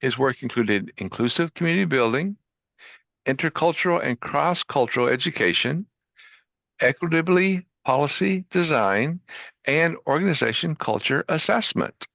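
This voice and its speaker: Upbeat male host, gravelly and bright, on a dry, slightly dull video call line. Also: a little high, animated, normal speed, no echo. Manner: upbeat, enthusiastic. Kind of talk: online show introduction.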